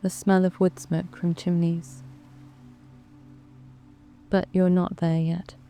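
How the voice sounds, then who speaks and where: A woman with a British accent narrating a guided sleep meditation in a soft, calm, soothing way, with long measured pauses. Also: fairly low pitch, creaky. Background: background music.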